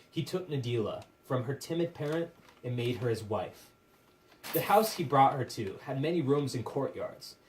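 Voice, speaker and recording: A man narrating a puppet show as dramatic storytelling, in a measured, theatrical, literary manner. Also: mid-range voice, darkish tone. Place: live puppet show performance, room. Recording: distant microphone with faint room noise.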